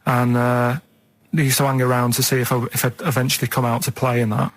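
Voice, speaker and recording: A man's voice: mid-range voice, level tone, fast talker, no echo, rough recording. A man co-hosting a radio show, telling rambling anecdotes in a blunt, colloquial, casual way.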